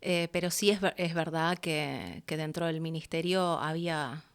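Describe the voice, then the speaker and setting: A woman with a Rioplatense Argentine accent telling stories casually as an interview guest, expressive and colloquial. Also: low-pitched, normal speed, clean tone. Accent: Rioplatense Argentine Spanish. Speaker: voice actress and announcer. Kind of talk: casual conversational storytelling.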